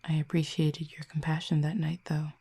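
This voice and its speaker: A woman playing a hushed, exiled cupid. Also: low-pitched, flat delivery, breathy edge. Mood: gentle. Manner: soft, hushed and slow, often near a whisper. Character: exiled cupid, gentle, wistful, soft-spoken. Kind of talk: audio roleplay to the listener.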